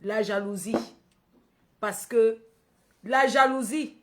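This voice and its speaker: A woman's voice: on the high side, slow. A woman from Cameroon with a Cameroonian accent hosting a casual livestream, addressing followers by name, repeating phrases and tagging on questions. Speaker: livestream host.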